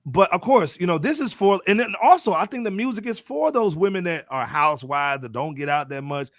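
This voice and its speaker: A man's voice: sits high, lively intonation, a little fast, no room sound, studio quality. An American man hosting a music panel, talking casually in street slang and bantering with his panelists. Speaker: podcast host moderating a music panel.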